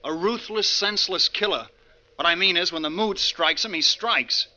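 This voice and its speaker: A man's voice: sits high, normal speed, sharp tone. A man with an American accent acting a grim, authoritative armed guard with clipped speech in an old-time radio drama. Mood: grim warning. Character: terse, grim male armed guard in command. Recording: dull old radio recording.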